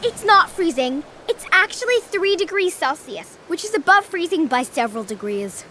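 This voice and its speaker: A woman's voice: very high-pitched, very animated, moderate pace, sharp tone, slight background noise, exaggerated voice. A woman voicing a bossy, brainy schoolgirl who loves science, pedantic and insistent, in a children's audio drama. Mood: know-it-all.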